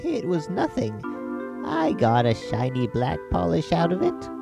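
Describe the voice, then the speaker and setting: A man's voice: a little high, wildly swooping pitch, a little slow, gravelly, over loud music, voice pushed up, heavily acted. A man voicing a boastful, jaunty little male ant hero in a children's storybook. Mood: bold, proud.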